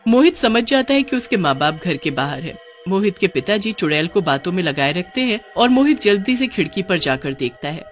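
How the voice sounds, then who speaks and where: A woman's voice: lowish voice, sing-song, a little fast, few pauses, soft background music. A woman storyteller giving dramatic scripted narration of a cartoon horror story.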